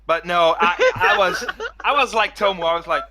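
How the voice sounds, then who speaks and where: A man co-hosting a podcast, telling joking anecdotes in a halting, casual way. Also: high voice, animated, a crowd in the background. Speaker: podcast co-host. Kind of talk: casual group chat.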